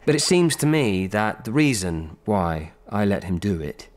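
Earnest devoted assistant, lively low-ish voice. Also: male voice. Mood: reflective. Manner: reflective, earnest, halting. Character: devoted, self-sacrificing film director's assistant, earnest and obsessive. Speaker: actor. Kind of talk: naturalistic radio drama monologue and dialogue.

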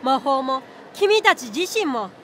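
A woman's voice: high voice, very animated, measured pace, bright, forceful tone, slight background noise, full character voice. A woman voicing a small boyish unicorn guardian beast in an anime-style voice drama, speaking as a composed, knowing mentor. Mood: calm, encouraging.

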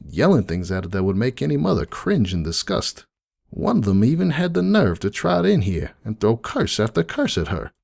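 A man voicing a grieving, kindly cartoon pony cafe owner in an emotional, halting dramatic monologue. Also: mid-range voice, vocal fry, darkish tone. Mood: bitter, indignant. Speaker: dramatic reader of fan fiction. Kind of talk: dramatic first-person monologue reading.

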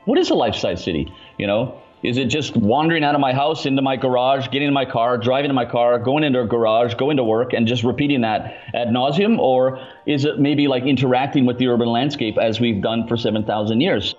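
A man in his forties from Canada, talking about his work in a relaxed, chatty way with many fillers. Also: mid-range voice, a little fast, hardly any pauses, dull audio, soft background music. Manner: relaxed and chatty, lots of fillers, laughs easily. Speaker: guest, urban design consultant. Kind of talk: casual interview chat.

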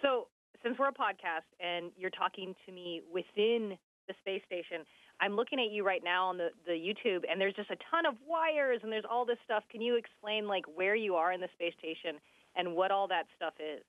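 A woman's voice: mid-range voice, lively intonation, moderate pace, no room sound. Woman interviewer on a tinny radio link. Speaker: podcast host. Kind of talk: casual interview questions.

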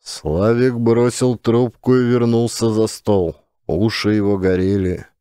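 A man's voice: on the low side, steady tone, a little slow, mellow, clear, resonant tone, dry recording, clean studio sound. A man narrating a scripted comic story as an actor-reader. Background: music.

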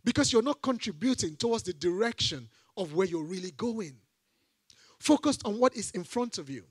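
Man preaching, high voice, dry close sound, handheld mic, church stage, animated emphatic delivery. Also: moderate pace, breathy edge, no room sound. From Nigeria. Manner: animated, rising to emphatic repeated phrases. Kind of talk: sermon.

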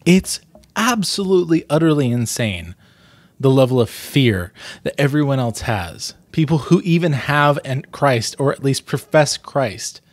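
A man's voice: mid-range voice, expressive, a little slow, dry recording. Earnest man, close dry mic. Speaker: vlog host and storyteller. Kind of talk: storybook reading and candid commentary. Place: small plain room indoors. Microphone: desk microphone, close.

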